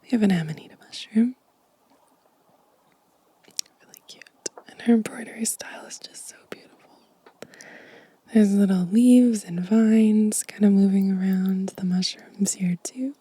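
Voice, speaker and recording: A woman doing a gentle, casual ASMR show and tell, shifting between breathy whispering and soft-spoken talk close to the microphone. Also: medium pitch, steady tone, measured pace, long pauses. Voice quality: breathy whisper mixed with soft voiced speech. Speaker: ASMR video host. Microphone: close microphone, dry sound.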